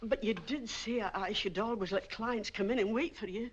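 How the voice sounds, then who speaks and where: A woman's voice: medium pitch, normal speed, lightly acted. An actress playing a flustered, kindly elderly landlady in a 1940s film, stammering and fluttery. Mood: apologetic. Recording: dull lo-fi old film soundtrack with faint hiss.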